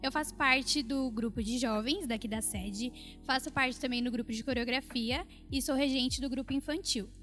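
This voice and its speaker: A young woman in her twenties tells a heartfelt personal story on a church podcast. Her voice sits a little high and she speaks earnestly, pausing on fillers. Soft music runs faintly behind her. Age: 20s. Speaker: guest. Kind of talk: personal testimony.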